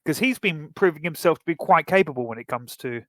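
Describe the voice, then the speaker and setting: A man's voice: on the high side, lively intonation, brisk, a bit bright, no room sound, studio quality. A man co-hosting a podcast, discussing films informally and thinking aloud as he goes. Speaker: podcast co-host. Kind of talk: casual film discussion.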